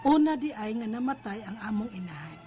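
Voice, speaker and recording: A woman's voice: a little high, big pitch swings, brisk, warm tone, faint music behind. An actress with a Cebuano Filipino accent playing a troubled married woman narrating her letter in a radio drama. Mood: earnest recounting.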